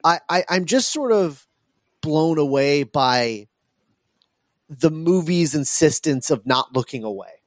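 A man's voice: fairly high pitch, expressive, slow, no echo, clean studio sound. A man hosting a podcast, talking casually about film and thinking aloud with frequent hesitations and repeats. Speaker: podcast host.

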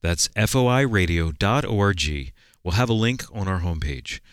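A man's voice: low-pitched, animated, moderate pace, clear, resonant tone, dry recording, studio quality. A man working as a radio announcer, giving a scripted promotional read.

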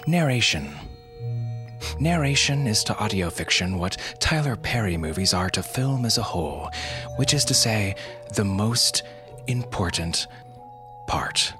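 Man, fairly low pitch, deadpan tongue-in-cheek host, clear background music. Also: unhurried. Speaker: podcast host. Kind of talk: scripted comic podcast hosting. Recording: music behind the voice.

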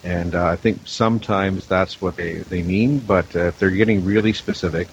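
A man talking casually on a panel as an audio producer, with measured, hesitant phrasing. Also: sits low, fairly even intonation. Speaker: audio producer and sound designer. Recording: muffled, slightly noisy line.

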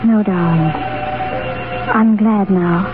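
Radio romance: a woman as a genteel, sincere lady in love, muffled 1930s sound with loud music. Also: mid-range voice, slow pace, lightly acted. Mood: tender consolation. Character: gentle, grateful, genteel English lady in love. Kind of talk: 1930s radio drama acting.

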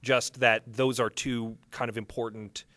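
A man's voice: medium pitch, little pitch movement, unhurried, fairly bright tone, no room sound. A man hosting a podcast, conducting a casual live interview in an informal, joking way.

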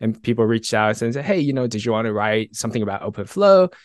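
A man's voice: mid-range voice, expressive, fast, no echo, clean studio sound. A man from Taiwan and Southern California talking casually as a podcast guest, modest and self-effacing. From Taiwan, then Southern California. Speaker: technical author, podcast guest. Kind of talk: casual chat.

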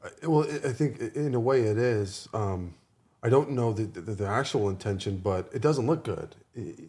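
A man with a low voice speaks casually as a partner in a religious podcast discussion. He is agreeable but hesitant, repeating words while he works toward his point. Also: expressive, normal speed, a little mellow.

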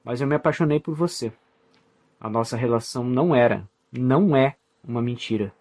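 Man as remorseful young lover, medium-pitched. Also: brisk, darkish tone, natural voice. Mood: remorseful, earnest. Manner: earnest, confessional. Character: remorseful young male lover, pleading sincerely. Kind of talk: audio drama acting.

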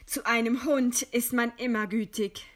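A condemned woman writer in a radio drama. Her voice is fairly high and she speaks very slowly, weighing each phrase. She stays composed and proud, with ironic scorn. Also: fairly even intonation, fairly bright tone. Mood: dry resignation. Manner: slow, deliberate, ironic. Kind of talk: German radio play acting.

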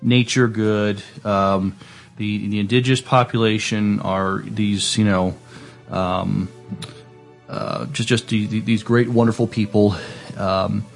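A man on a podcast panel giving his view of a film casually, in a hesitant, halting way. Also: on the low side, fairly even intonation, gravelly, soft background music.

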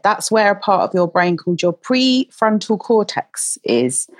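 A woman in her forties hosting a podcast, chatty and encouraging, speaking gently to a child guest. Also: lowish voice, no echo. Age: 40s. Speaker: podcast host. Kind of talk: warm casual interview chat.

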